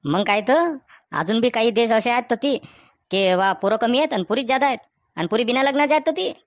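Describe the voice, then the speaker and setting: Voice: mid-range voice, expressive, fairly quick, natural voice. Voice actor as chatty Varhadi village bachelor. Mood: explaining. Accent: Varhadi Marathi from Vidarbha. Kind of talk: comic cartoon dialogue in village dialect.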